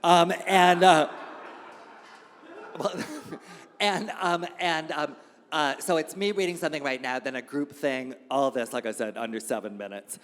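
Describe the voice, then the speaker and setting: A man's voice: on the high side, a little slow, fairly bright tone. A man hosting a live reading, speaking to the room informally and reading aloud from satirical writing. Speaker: host and reader at a live reading event. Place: indoor gallery event space. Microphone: handheld event microphone. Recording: reverberant hall live recording.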